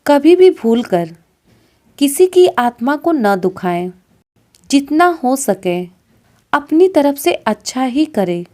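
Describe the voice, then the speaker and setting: A woman's voice: sits high, big pitch swings, takes it slowly, warm tone. A woman narrating a scripted moral story as a storyteller, in a didactic manner. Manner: didactic, addressing listeners as friends.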